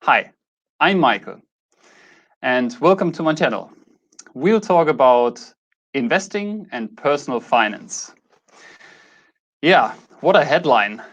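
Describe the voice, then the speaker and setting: A man in his thirties speaks English with a German accent. His pitch sits in an ordinary middle range for a male voice. He talks casually, as a YouTuber does to viewers, feeling his way through sentences and often rewording them. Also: very animated, slow, long pauses, fairly bright tone, muffled recording. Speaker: YouTube host. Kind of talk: casual talk to camera, introducing a channel.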